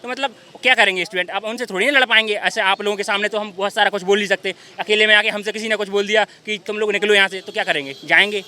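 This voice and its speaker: Young male, very sharp bright voice, quick argumentative talk at a street protest. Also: high-pitched, fairly even intonation. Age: young adult. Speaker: job candidate at a protest. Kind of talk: street interview. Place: outdoors by a city street.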